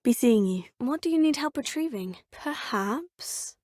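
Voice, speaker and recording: A courteous young witch student speaks with formal, polished phrasing. Her voice sits a little high and carries a soft, breathy edge. The close studio recording is dry, with no room sound. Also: animated, a little slow, no room sound. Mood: polite, curious. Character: young female witch student, curious and polite. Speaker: player character. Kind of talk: video game voice clips. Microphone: close studio mic.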